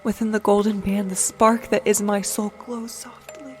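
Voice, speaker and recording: Woman as a hushed undead warrior, little pitch movement. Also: fairly even intonation, normal speed, lightly acted. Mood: anguished. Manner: hushed, solemn, dramatic. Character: anguished undead warrior, haunted, bitter, solemn. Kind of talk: first-person audio drama narration. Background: music.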